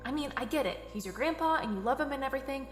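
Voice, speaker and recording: A woman's voice: high-pitched, animated, rapid-fire. Lady as a skeptical wisecracking young friend, radio play, natural delivery, bit echoey, some music under. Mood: earnest, insistent. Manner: questioning, sardonic. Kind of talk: naturalistic audio drama acting. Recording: roomy echo with music behind.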